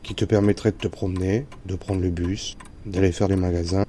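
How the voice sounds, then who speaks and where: A man plays a worried father in an audio drama, his voice soft-toned, mellow and rather low. He is careful, tentative and apologetic, with faint noise behind him. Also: steady tone, fairly quick, lightly acted. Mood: reassuring. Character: worried, gentle, apologetic middle-aged father of a teenager. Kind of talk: amateur French audio fiction dialogue.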